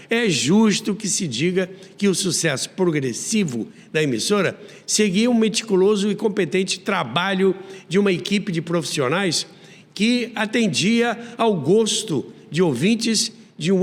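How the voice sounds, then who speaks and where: A man's voice: on the high side, long reverb tail. A Brazilian man hosting and narrating a scripted documentary about radio. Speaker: host and narrator of a radio history series.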